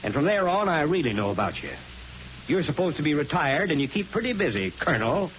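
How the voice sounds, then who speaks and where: An actor playing a wry, principled small-town American mayor in a 1940s radio drama, speaking in a folksy, informal way. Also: male voice, a little high, sing-song, brisk. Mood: firm. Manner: folksy, drawling informal speech. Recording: muffled, hissy 1940s radio recording.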